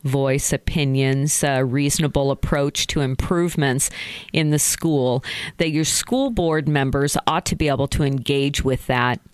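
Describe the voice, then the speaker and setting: A school board member talking in a casual interview, earnest and quick to laugh, with many small restarts. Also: medium pitch, fairly even intonation, clear, resonant tone, dry recording.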